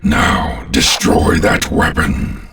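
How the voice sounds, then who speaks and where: Abyssally deep, gravelly alien overlord. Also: male voice, expressive, fairly bright tone, far from the mic, full character voice. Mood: commanding. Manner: slow, grandiose, imperious. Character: ancient alien overlord villain, commanding, contemptuous, menacing. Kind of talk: theatrical video game villain acting.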